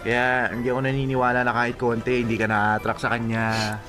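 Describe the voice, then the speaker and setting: An actor with a Filipino accent playing an easygoing young office buddy in a radio drama, teasing and coaxing. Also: male voice, mid-range voice, little pitch movement, fairly quick, fairly bright tone, lightly acted. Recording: music bed underneath.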